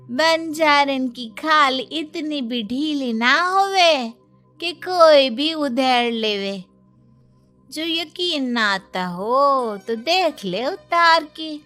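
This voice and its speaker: A woman voicing a bold, defiant young nomad daughter-in-law in a moral story, with rustic dialect and drawn-out, taunting delivery. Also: sits high, pushed and bright, voice pushed up, full character voice.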